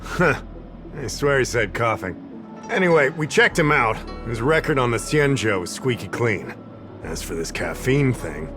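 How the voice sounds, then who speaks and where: An actor playing an affable, easygoing investigative official in a video game, conversational and explanatory. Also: male voice, medium pitch, animated, a little slow, over music, close to an everyday voice. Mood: casual, forgetful. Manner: conversational, slightly absent-minded, explanatory. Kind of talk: video game character acting.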